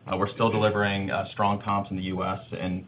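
A male executive answering analyst questions on an earnings call, brisk, with filler uhs and repeated words. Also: sits low, completely flat delivery, slight background noise. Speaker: company executive. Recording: muffled conference call line.

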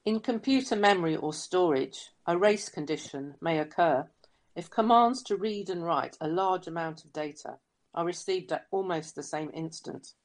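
A woman voicing a cool, calculating hacker entity in a scripted science fiction audio drama, measured and formal. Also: deep voice, natural voice.

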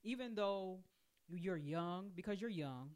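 A woman's voice: fairly low pitch, animated, unhurried. A woman in her forties, a podcast guest, telling her story chattily with many fillers. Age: 40s. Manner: warm storytelling, many "you know" fillers. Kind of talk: casual group conversation.

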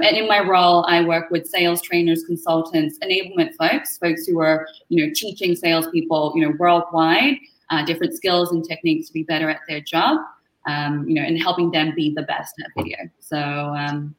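A woman's voice: low voice, steady tone, moderate pace, low-quality recording. A woman with an Australian accent, chatting as an upbeat guest on an interview show, with lots of fillers. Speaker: guest, partner manager. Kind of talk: casual interview chat.

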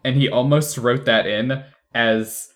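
A man's voice: medium pitch, steady tone, slow. A man on a podcast panel, explaining things in a casual, conversational fan-chat style.

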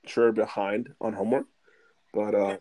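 A man's voice: on the low side, level tone, moderate pace, mellow. A young man co-hosting a casual student chat, laid-back and joking, chuckling often. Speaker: podcast co-host.

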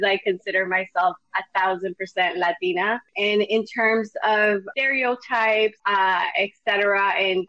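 A woman from El Salvador speaking as a panel guest in casual discussion, laughing often and pausing with frequent ums. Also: mid-range voice, no echo, dull audio. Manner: warm, laughing, hesitant with many ums.

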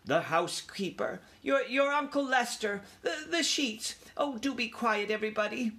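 A man's voice: high-pitched, wildly swooping pitch, takes it slowly, fairly bright tone, pitched above the performer's own voice, heavily acted. A man voicing an agitated mother mouse in a storybook, flustered and prone to stammering.

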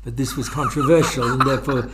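A man's voice: medium pitch, fairly even intonation, quick pace, background noise. An older man, a guest philosopher holding forth in a wry, expansive academic conversation.

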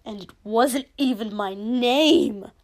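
A woman's voice: fairly high pitch, sing-song, drawn-out words, warm tone, full character voice. A woman voicing an unstable, taunting young man in a story reading, erratic and venomous, swinging from dreamy to snapping. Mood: petulant, venomous. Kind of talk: dramatic audiobook-style character reading.

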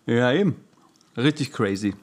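A man speaks as a podcast co-host in an easy, colloquial style. His pitch is average for a man, and he mixes English slang into his casual chat. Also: animated, normal speed, darkish tone.

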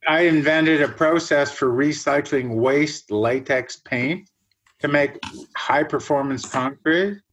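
A man in his sixties, born in Germany, answering interview questions as a guest scientist in a measured, reflective way. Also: fairly high pitch, a bit bright, husky, lo-fi sound. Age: 60s. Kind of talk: podcast interview.

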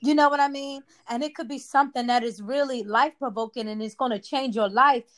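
Woman, fairly high voice, emphatic, preachy, call-and-response, casual chat. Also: fairly even intonation, a little fast, fairly bright tone.

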